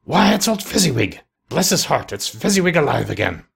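Casting: male reader as an elderly miser softened by regret, husky and emotional, for a storybook. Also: fairly low pitch, normal speed, exaggerated voice. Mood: excited. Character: old miser turned tearful, regretful, excitable.

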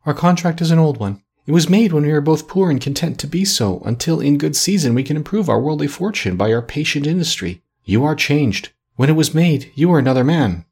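Man voicing a gentle sorrowful young woman, lifted pitch. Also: slightly rough. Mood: sad, earnest. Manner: earnest, measured, steady reproach. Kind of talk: audiobook character reading.

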